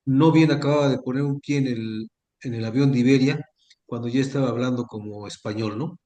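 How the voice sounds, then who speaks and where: A man's voice: mid-range voice, fairly quick, dark tone. A Mexican man asking a guest questions in a friendly, chatty way during a conversational interview. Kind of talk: conversational interview on a video call. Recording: echoey room.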